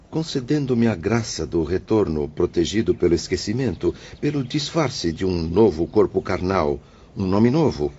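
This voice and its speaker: A man's voice: sits low, mellow, breathy edge. A man playing a remorseful, solemn male spirit awaiting rebirth, speaking formally in an audiobook drama. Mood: moved, contrite. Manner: grave, formal, declamatory. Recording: dull lo-fi audio with music behind.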